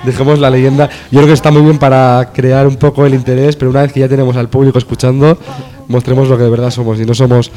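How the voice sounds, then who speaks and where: Dry-witted young man, mellow voice. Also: medium pitch, level tone, fast. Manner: dry, ironic wisecracks. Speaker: radio co-host. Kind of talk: casual chat.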